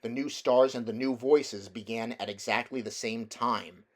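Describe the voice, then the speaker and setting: A man reading a children's novel aloud as a narrator, in a measured, deliberate storytelling manner. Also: medium pitch, steady tone. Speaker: audiobook reader.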